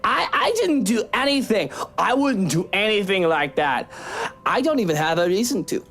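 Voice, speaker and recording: An actor playing a proud, hard-drinking, defensive gentleman in a visual novel, with a dramatic way of talking. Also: high voice, sing-song, moderate pace, slight background noise, heavily acted. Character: drunk, proud, defensive wealthy gentleman of the house.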